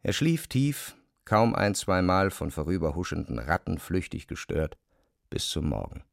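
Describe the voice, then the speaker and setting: A man's voice: low-pitched, sing-song, a little slow, vocal fry, no room sound. A man reading scripted literary narration as the narrator of a classic novel, recorded close in a studio.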